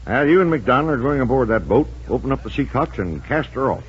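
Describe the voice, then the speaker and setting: An actor playing a sardonic, scheming gang boss with clipped tough talk, in 1940s radio drama style. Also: male voice, mid-range voice, expressive, a little fast, natural voice. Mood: sardonic, plotting. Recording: muffled old radio recording.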